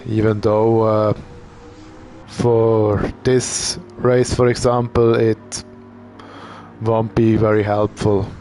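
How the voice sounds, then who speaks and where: A man doing casual live commentary on a sim racing event. He thinks out loud as he talks and uses fillers. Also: fairly low pitch, takes it slowly, faint music behind. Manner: relaxed, thinking aloud, with fillers.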